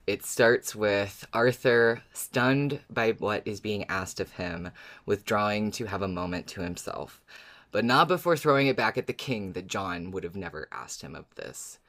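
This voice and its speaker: A man's voice: fairly low pitch, a bit bright. A man co-hosting a podcast, giving casual recaps with wry, dramatic phrasing. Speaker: podcast co-host.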